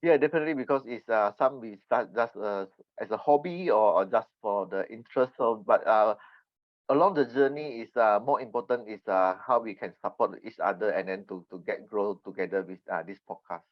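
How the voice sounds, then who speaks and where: A Malaysian man, a native Mandarin speaker, answering podcast interview questions earnestly and haltingly as he searches for words. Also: lowish voice, dry recording. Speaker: podcast guest. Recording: dull, slightly lo-fi remote connection.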